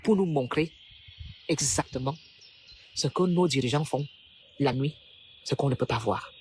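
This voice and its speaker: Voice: medium pitch, unhurried, a little mellow, slight background noise. A speaker from Cameroon delivers a preachy, rhetorical political monologue to the public. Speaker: political commentator.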